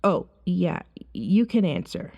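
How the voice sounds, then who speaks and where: Seductive female vampire woman acting, ASMR roleplay. Very slow, soothing, often whispered; slightly dull lo-fi recording. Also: on the low side, sing-song, vocal fry, warm tone, exaggerated voice. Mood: frank, curious. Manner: soothing, hypnotic, unhurried, often dropping to a whisper.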